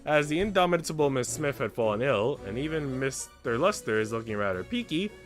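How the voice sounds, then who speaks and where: A man's voice: mid-range voice, very animated, a little fast. A man streaming a visual novel, reading its text aloud and adding casual asides, with music playing behind him.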